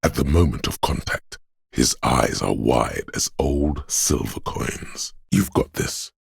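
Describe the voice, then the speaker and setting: A man voicing the brooding inner voice that narrates a detective video game, deliberate, weighty and intimate in delivery. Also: animated, no room sound, studio quality, heavily acted. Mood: brooding, intent. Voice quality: very deep, gravelly, husky. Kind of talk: dramatic second-person game narration.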